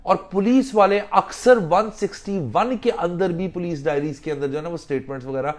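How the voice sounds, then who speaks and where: A man explaining legal rules in a deliberate way, mixing in English legal phrases. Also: high voice. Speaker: legal instructor.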